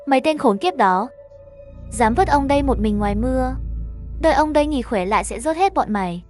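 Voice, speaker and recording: A woman plays an injured, arrogant man in a horror tale, frightened and defensive. The voice is high, quick to curse and self-reassuring, over faint music. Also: expressive, darkish tone. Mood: uneasy, angry. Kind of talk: horror story character dialogue.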